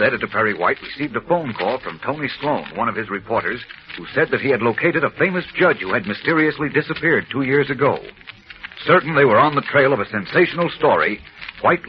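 A male announcer narrating an old radio serial in a grand, declamatory style. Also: mid-range voice. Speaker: show announcer. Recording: dull old radio recording, faint hiss.

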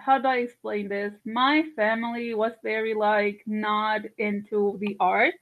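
A woman's voice: medium pitch, flat delivery, a little slow. Guest, woman, 30s, chatty, tinny phone-quality audio. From Colombia. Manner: chatty, many fillers and restarts. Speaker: guest artist. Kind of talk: casual interview chat.

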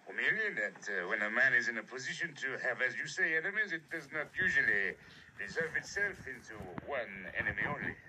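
A man's voice: medium pitch, sing-song, a little fast, pushed and bright, slight background noise, poor audio. An actor playing a fussy, courteous male detective who asks precise questions. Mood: probing.

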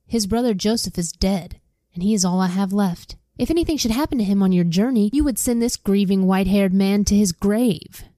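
Sorrowful old patriarch, read by a woman; slow mournful lines, mellow voice. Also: mid-range voice, no echo, studio quality, lightly acted. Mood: grieving.

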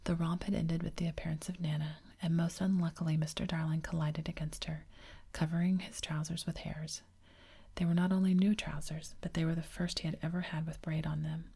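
A woman narrating a classic storybook in a steady whisper, like an ASMR reading. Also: deep voice, creaky, breathy edge. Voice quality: whispering throughout.

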